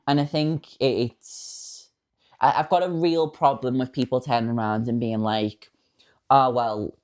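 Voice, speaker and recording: A man's voice: mid-range voice, fairly even intonation, unhurried. A man in his late twenties co-hosting a podcast, talking in an earnest, opinionated way. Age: late 20s. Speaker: podcast co-host. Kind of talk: casual chat.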